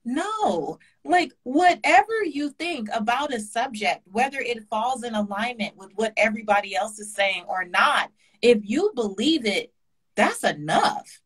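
Woman guest, fairly high voice, fervent and preachy, webcam call from home. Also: expressive, unhurried, slightly rough, rough recording. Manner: passionate, preachy, repetitive emphasis. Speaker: guest speaker. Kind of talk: casual video-call interview. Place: home kitchen indoors. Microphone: webcam on a video call.

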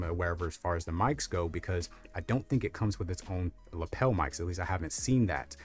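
A young man with a deep voice giving a casual, rambling tech review on a lapel mic in a small room, with lots of fillers. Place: small room lit with colored lights.

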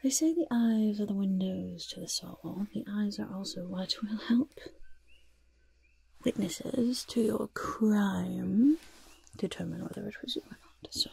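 A woman playing a sly, fussy goblin master of disguise in a hushed, breathy ASMR roleplay, speaking deliberately and close to the microphone. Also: medium pitch, animated, heavily acted. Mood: precise, hushed. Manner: slow, deliberate, refers to self in third person. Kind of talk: ASMR roleplay, soft-spoken whisper.

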